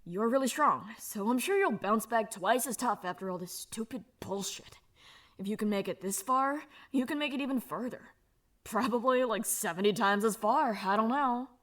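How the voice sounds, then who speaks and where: A woman's voice: fairly high pitch, moderate pace, fairly bright tone. A woman voicing a tough young woman who reads out her letter in a casual, swearing, offhand way. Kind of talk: reading a letter aloud.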